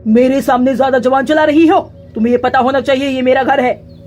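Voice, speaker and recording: Woman playing a high-voiced, stern cartoon father bird. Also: level tone, brisk, darkish tone, soft background music, close to an everyday voice. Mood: angry. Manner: scolding, domineering.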